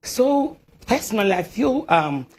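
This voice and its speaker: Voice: medium pitch, big pitch swings, measured pace, husky. A panel guest with a Ghanaian accent on a TV studio panel, speaking in an opinionated, persuasive way. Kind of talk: TV panel discussion.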